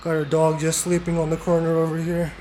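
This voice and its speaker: A man's voice: on the high side, a little hiss or hum. A man from Canada casually narrating a walking vlog outdoors on narrow town streets. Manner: relaxed, unhurried narration to camera. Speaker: vlogger.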